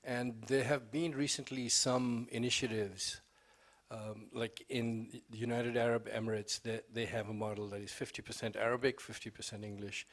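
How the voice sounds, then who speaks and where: A man's voice: mid-range voice, little pitch movement, moderate pace. A man with a mid-range voice talks in an unscripted panel style. He explains and frames questions for other speakers. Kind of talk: spontaneous panel discussion.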